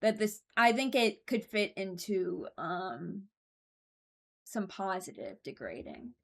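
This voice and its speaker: Girl doing casual ranking commentary, medium pitch, thinking out loud, informal. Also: sing-song, slow, vocal fry. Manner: informal, musing aloud. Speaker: video host ranking voicelines.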